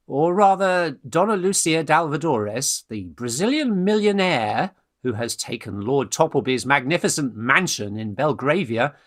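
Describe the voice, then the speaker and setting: An actor playing a brash, scheming young English undergraduate in a theatrical Victorian farce, exclamatory and bossy, with jolly old-fashioned slang. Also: male voice, on the high side, fairly bright tone. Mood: mock-pompous reading. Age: young adult. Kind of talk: theatrical radio farce acting.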